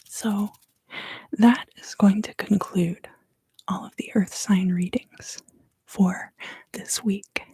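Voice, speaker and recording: A woman giving an ASMR card reading for a live stream in a soft, unhurried, often fully whispered voice, close to the microphone. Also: medium pitch, steady tone, husky, dry recording. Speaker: ASMR tarot reader and live stream host.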